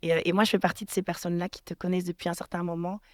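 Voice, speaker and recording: A woman's voice: fairly low pitch, level tone, racing, a little mellow, no echo, clean studio sound. A woman pastor hosting a faith podcast, introducing her guest with open emotion and frequent affirming murmurs. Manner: emotional, affectionate introductions with many affirming "mhm"s. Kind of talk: warm podcast interview.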